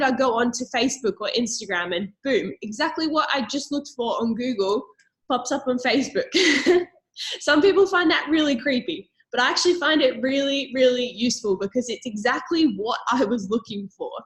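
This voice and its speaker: A woman's voice: fairly high pitch, a bit bright, rough recording. A young Australian woman with an Australian accent, giving an upbeat, persuasive sales presentation on a call, with frequent fillers. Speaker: network marketing presenter.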